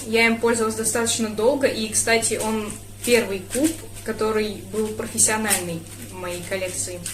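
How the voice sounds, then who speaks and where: A woman's voice: fairly high pitch, little pitch movement, normal speed, reverberant. Young woman speedcuber with fillers. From Russia. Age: young adult. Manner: thoughtful, hesitant with fillers. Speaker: speedcuber vlogger. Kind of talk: casual Q&A vlog.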